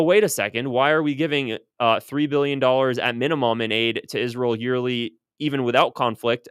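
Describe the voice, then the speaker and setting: A man's voice: mid-range voice, smooth, dry recording, studio quality. An American man giving unscripted, opinionated political commentary in an emphatic, insistent way, repeating phrases for stress. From United States.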